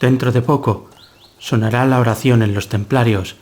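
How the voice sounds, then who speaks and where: A man acting as a young nobleman and huntsman in a gothic audio tale. He speaks in a middle-pitched voice with a grave, courtly and declamatory manner, like a formal storyteller. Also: fairly even intonation, normal speed, darkish tone, slight background noise, natural voice. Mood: commanding.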